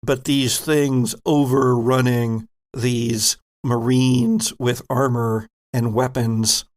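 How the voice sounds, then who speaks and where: A man narrating as game master of a tabletop role-playing game, describing scenes slowly. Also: medium pitch, steady tone.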